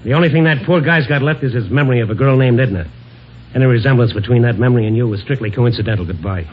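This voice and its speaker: An actor playing a tough but compassionate newspaper reporter in an old-time radio drama, with clipped, wry delivery. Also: male voice, mid-range voice, racing, background noise, natural voice. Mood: sardonic, urgent. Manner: clipped, sardonic, hard-boiled. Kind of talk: old-time radio drama acting with first-person narration. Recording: old muffled radio recording with music.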